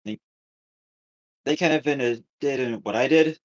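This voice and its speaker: A man co-hosting a podcast, telling a story casually with halting, repetitive, circling phrasing. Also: medium pitch, muffled recording. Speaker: podcast co-host.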